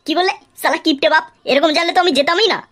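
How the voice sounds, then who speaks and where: Squeaky, cheeky cartoon boy. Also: racing, a bit bright. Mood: grumbling. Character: cheeky, giggly, scheming young son in a cartoon. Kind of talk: comic cartoon dialogue.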